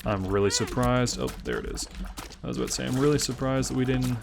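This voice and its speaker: A man's voice: mid-range voice, very animated, quick pace, gravelly, background noise. A man in his twenties from Tennessee with a Southern accent, chatting casually and self-deprecatingly while commenting on his gameplay, often rambling between thoughts. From Memphis, Tennessee. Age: 20s. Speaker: gaming channel host.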